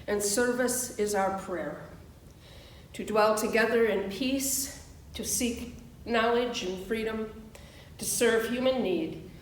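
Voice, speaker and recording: A woman minister giving a solemn, measured reading for worship, recorded at a distance in a roomy space. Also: mid-range voice. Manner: measured, deliberate phrasing. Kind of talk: solemn scripted liturgical reading. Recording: roomy, distant mic, background hiss.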